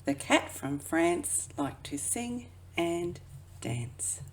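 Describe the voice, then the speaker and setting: A woman who works as a children's educator reads a picture book aloud expressively, drawing out phrases and dropping into hushed asides. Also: lowish voice, very animated, extremely slow, a little hiss or hum. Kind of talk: children's storybook read-aloud.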